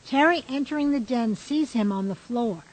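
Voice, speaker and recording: A woman's voice: fairly high pitch, moderate pace, low-quality recording. A woman reading stage directions as scripted narration for a radio drama.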